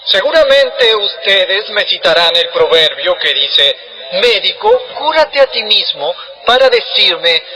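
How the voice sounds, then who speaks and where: A man plays a solemn religious teacher in a dramatized audio Bible. He declaims with authority in a bright, forceful voice, stressing his words. Soft music sits behind him. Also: high voice, full character voice, rough recording. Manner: declamatory, emphatic.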